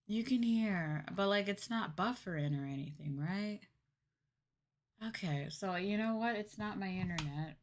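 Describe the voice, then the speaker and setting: A woman's voice: medium pitch, lively intonation, a little slow. A woman livestreamer chatting casually and thinking aloud, muttering a little hesitantly to herself. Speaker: VTuber livestreamer.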